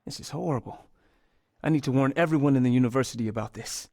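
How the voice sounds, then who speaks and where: A man's voice: mid-range voice, fast, a little mellow, dry recording, natural voice. An actor playing an earnest university scientist in a video game, polite and a little hesitant as he explains things. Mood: worried. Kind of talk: video game dialogue acting.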